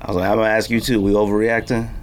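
A man hosting a podcast, chatting casually in an animated, slangy way. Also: lowish voice, very fast. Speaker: podcast host. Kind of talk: casual chat. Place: podcast studio.

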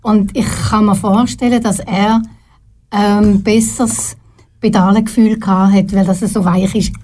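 Older Swiss German woman, mellow voice. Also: medium pitch, steady tone. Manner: thoughtful, pauses often to search for words. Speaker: guest, retired TV presenter. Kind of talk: relaxed radio studio interview. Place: radio studio.

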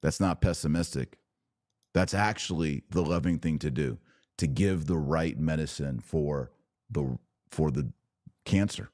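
Deep-voiced American man, emphatic religious commentator. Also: unhurried, darkish tone, no echo, studio quality. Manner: emphatic, preachy, punchy short phrases. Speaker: podcast host taking viewer questions. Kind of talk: impassioned religious commentary, off the cuff.

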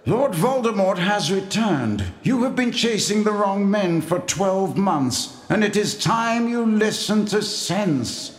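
A calm, commanding old wizard, put on by a male narrator. He speaks slowly and gravely in a raised, lively voice. A roomy echo surrounds him. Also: sits high, soft background music, pitched above the performer's own voice. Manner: measured, grave.

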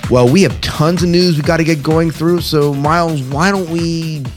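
A man's voice: fairly high pitch, normal speed, faint music behind. A man hosting a podcast in a casual style, chatting and reading out news with conversational asides. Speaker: podcast host.

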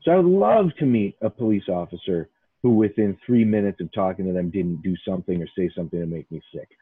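A man's voice: sits low, expressive, fast talker, breathy edge, no echo, phone-quality sound. A man from Chicago's north side hosting a casual podcast chat, emphatic and rambling, full of restarts and "like". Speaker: podcast host.

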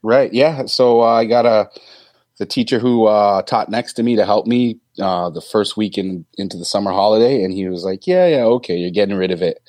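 A man in his thirties, a teacher, answering interview questions in a deep voice, thoughtful and hesitant with many ums and restarts. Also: a little fast, darkish tone, dry recording. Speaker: teacher guest.

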